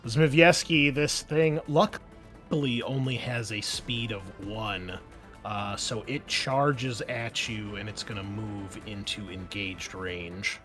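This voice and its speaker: A man's voice: on the low side, fairly even intonation, slow pace, a bit bright, soft background music. A man acting as game master, improvising narration and explaining rules in a conversational, wry way. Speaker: game master of a tabletop RPG.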